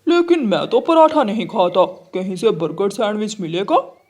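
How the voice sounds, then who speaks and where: Man voicing a snobbish high-pitched cartoon crow. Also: animated, normal speed, a little mellow. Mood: picky, snobbish. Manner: boastful, disdainful, complaining. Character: snobbish male city crow, fussy about dirt. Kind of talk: Hindi children's cartoon story acting.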